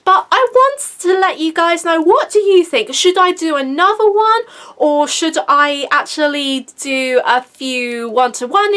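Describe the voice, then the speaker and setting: Woman host, high voice, chatty, casual video-call panel. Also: a bit bright. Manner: chatty, restarts a lot, upbeat sign-off. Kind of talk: casual online panel hosting.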